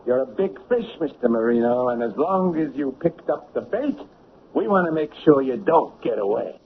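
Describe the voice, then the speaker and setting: A man's voice: medium pitch, lively intonation, normal speed, close to an everyday voice. Man acting commanding lawman, muffled radio. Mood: firm, triumphant. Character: commanding male lawman springing a trap. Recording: muffled, faintly noisy old radio.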